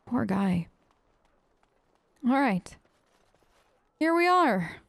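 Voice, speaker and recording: A woman's voice: a little high, sing-song, extremely slow, vocal fry, dry recording. A woman hosting a role-play let's play, improvising conversationally in character at a close microphone. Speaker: gaming host.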